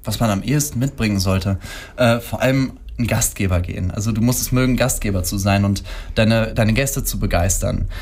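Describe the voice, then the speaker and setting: A man, a hotel food and beverage manager speaking as a guest in a radio interview, with many fillers and pauses. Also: lowish voice, darkish tone. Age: 30s.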